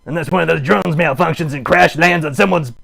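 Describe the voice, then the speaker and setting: A man voicing a needy, melodramatic puppet streamer, theatrical, sarcastic and mock-grand, with a gravelly, husky voice, improvising a comic livestream. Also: on the high side, a little fast, fairly bright tone, full character voice. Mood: conspiratorial, playful. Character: melodramatic, needy puppet live streamer with big wry bravado. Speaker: host of a live puppet show stream.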